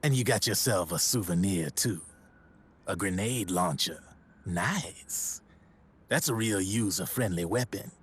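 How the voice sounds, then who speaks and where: A slick, worldly arms dealer in a video game cutscene. His voice is deep and husky. He talks in a measured, knowing way, like a dramatic storyteller. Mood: sly, casual.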